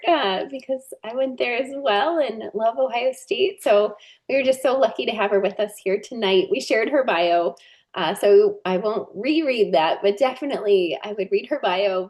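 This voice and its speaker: A woman hosting a webinar over a video call, introducing the guest in a friendly way with many fillers. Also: on the high side. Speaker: webinar host. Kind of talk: introducing a speaker.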